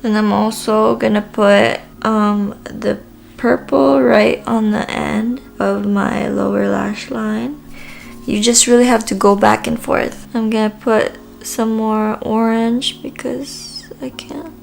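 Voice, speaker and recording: A woman's voice: fairly high pitch, steady tone, slow pace, vocal fry, slight background noise. A woman with a Filipino accent talks through a makeup tutorial in a casual, hesitant way, with frequent ums. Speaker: makeup vlogger.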